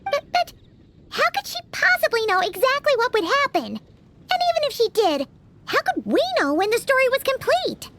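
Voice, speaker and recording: Game fairy companion: squeaky, very high, lively. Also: female voice, a little hiss or hum, exaggerated voice. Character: small floating fairy companion girl, chirpy. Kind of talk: video game voice acting.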